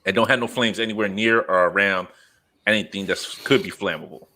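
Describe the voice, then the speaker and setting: A man's voice: on the low side, brisk, pushed and bright. A man in his thirties hosting a podcast, chatting casually and telling jokes with quoted asides. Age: 30s. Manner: joking, storytelling with quoted asides. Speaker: podcast host. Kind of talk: casual chat.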